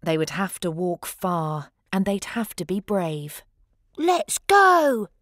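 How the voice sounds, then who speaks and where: Female storybook narrator, slow and expressive, dramatic emphasis, dry recording. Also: lowish voice, dry recording. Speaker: storybook reader. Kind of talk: expressive children's read-aloud narration of rhyming verse.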